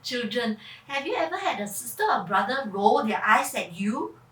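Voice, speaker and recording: High, very animated woman storyteller in echoey room. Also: normal speed. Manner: theatrical, puts questions to child listeners, vocal sound effects. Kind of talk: dramatic children's story reading. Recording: echoey room, far from mic.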